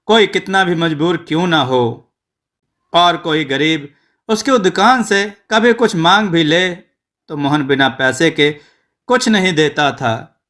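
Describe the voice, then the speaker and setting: A man tells a scripted moral tale at an unhurried pace. His voice is bright and forceful, sitting a little high for a man, and each phrase is measured and clearly spoken. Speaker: storyteller. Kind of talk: scripted moral story narration.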